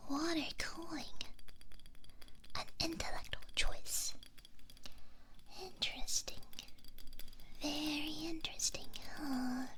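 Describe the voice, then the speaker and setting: Female ASMR performer for curious, playful fox girl tech: hushed whisper, high-pitched, musing. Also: steady tone. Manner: musing, halting, thinking aloud. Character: playful, inquisitive fox girl computer repair technician.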